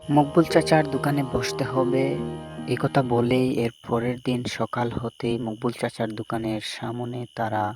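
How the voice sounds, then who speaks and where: Very deep-voiced woman narrator. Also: level tone, moderate pace, darkish tone, soft background music. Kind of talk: scripted cartoon story narration.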